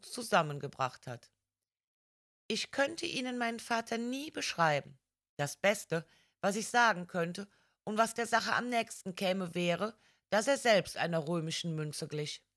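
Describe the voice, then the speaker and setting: A woman voicing a proud, defiant young woman who confides family secrets in an audiobook, earnest, formal and deliberate. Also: medium pitch, fairly bright tone. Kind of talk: audiobook character reading.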